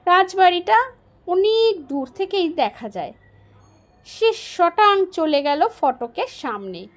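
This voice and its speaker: A woman narrating a story aloud in an expressive, dramatic and deliberate storytelling style. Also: very high-pitched.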